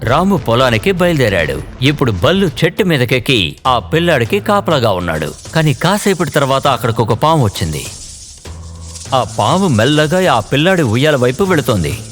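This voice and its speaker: A man narrating an animated children's story in an expressive storytelling manner. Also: medium pitch, normal speed. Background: faint background music.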